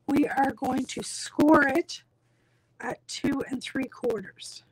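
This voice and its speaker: A hoarse woman with a croaky voice, walking through a craft tutorial step by step in a reassuring, casual way. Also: high-pitched, steady tone, takes it slowly, no echo, poor audio. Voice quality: croaky, husky. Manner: reassuring, instructional. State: hoarse, losing her voice. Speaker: craft tutorial host, demonstrator.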